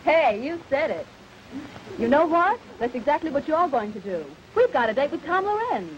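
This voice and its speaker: An actress playing a brash, wisecracking young burlesque stripper in the acting style of a 1930s melodrama film, slangy and pushy. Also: female voice, high voice, sing-song, normal speed. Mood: excited, coaxing. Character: brash, fun-loving burlesque stripper, worldly young woman. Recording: scratchy, dull old film soundtrack.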